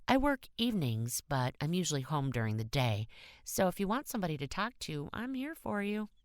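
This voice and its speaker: A woman's voice: low voice, very animated, fairly quick, no echo, clean studio sound. A woman voicing a lonely, affectionate young divorcing woman in an audiobook story, confiding and gushing. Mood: affectionate, earnest.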